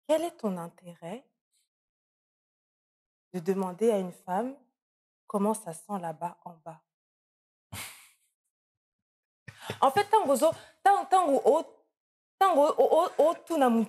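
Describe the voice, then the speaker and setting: A woman's voice: fairly high pitch, a little mellow. A woman hosting an interview, asking her questions in a playful, teasing way. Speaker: interviewer, host.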